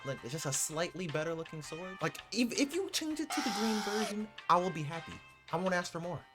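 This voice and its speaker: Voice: mid-range voice, very animated, brisk. A gaming video host giving casual, slangy, sarcastic and mock-exasperated reaction commentary over gameplay. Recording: game music behind the voice. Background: game music.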